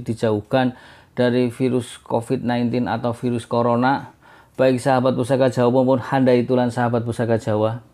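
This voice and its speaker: Hoarse, gravelly man presenting. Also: medium pitch, darkish tone. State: hoarse, under the weather. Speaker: host of a heirloom dagger culture channel. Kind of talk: informal explanatory presentation to viewers.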